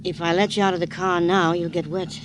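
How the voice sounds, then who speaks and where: A woman's voice: little pitch movement, brisk, close to an everyday voice. An actress playing a hard, dry-eyed older mother of a gangster in a radio crime drama, speaking in blunt, clipped street talk. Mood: evasive, cool. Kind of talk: 1950s radio crime acting. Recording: noisy old radio, vehicle rumble.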